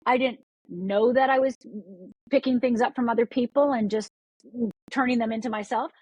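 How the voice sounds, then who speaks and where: Husky, energetic woman from Upper Michigan. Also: a little high, normal speed, dry recording, highs cut off. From Upper Michigan, USA. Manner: energetic, repeats words, restarts sentences. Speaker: guest. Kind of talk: podcast interview.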